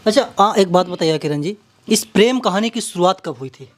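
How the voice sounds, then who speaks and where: A man's voice: high voice, animated, fairly quick. A man with a Bihari accent working as an interviewer, introducing and questioning in a dramatic, repetitive way. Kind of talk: local media interview with narrated introduction.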